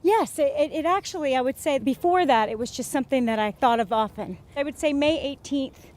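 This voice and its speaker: Earnest, slightly high-voiced woman guest. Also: darkish tone. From Georgia, USA. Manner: earnest, enthusiastic, talks in quick bursts. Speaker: interview guest. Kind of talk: casual interview conversation.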